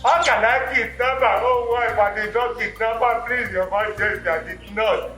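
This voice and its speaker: A man's voice: sits high, fairly even intonation, normal speed, pushed and bright. An actor playing a desperate man begging a king for mercy, with frantic, pleading speech, in an echoey room with faint music.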